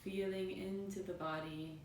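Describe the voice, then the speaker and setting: Woman meditation guide, soothing and spacious phrasing; roomy, echoing recording with faint background rumble. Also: steady tone. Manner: soothing, unhurried phrases with pauses. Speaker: yoga and meditation teacher. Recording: roomy, distant mic, faint noisy rumble.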